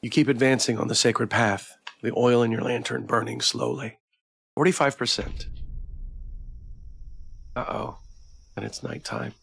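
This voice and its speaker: A man's voice: mid-range voice, animated, a little slow, creaky, long pauses, fairly bright tone, slightly rough, dry recording. A man streaming a visual novel, reading the narration aloud and adding casual commentary of his own. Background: background music.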